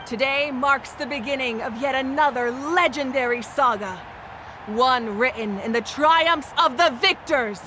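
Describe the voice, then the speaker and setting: A commanding warrior goddess from a video game. The delivery is slow, solemn and declamatory in a high register, with confident weight on each phrase. Noisy ambience sits behind it. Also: female voice. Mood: solemn, rousing. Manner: measured, stately, declamatory. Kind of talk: ceremonial speech in a video game.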